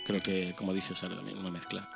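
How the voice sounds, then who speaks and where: A man's voice: low voice, monotone, fast talker, vocal fry, over music. A man with an accent from Spain co-hosting a casual radio show in a hesitant, rambling way. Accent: Spanish (Spain).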